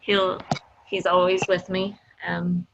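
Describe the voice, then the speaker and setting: Woman, thirties, from Ohio, lower voice, unhurried, relaxed. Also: lo-fi sound, noisy background. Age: 30s. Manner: relaxed, chatty.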